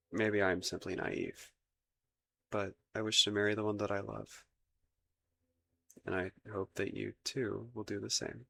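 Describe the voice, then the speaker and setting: Man playing a flustered low-voiced crown prince, gravelly. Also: bright, forceful tone, exaggerated voice. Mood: earnest, nervous. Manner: hesitant, stammering, formal old-fashioned courtly phrasing. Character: shy, flustered, lovestruck young crown prince, courtly and awkward. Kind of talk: romantic roleplay ASMR audio acting.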